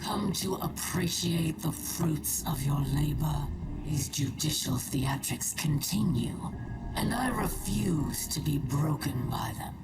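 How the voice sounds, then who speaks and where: A defiant alien prisoner from a video game, her voice deep for a woman and rasping. She speaks slowly and bitterly, with proud scorn. Loud music swells around the distant-sounding audio. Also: lively intonation. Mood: bitter, scornful. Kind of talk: dramatic video game cutscene acting.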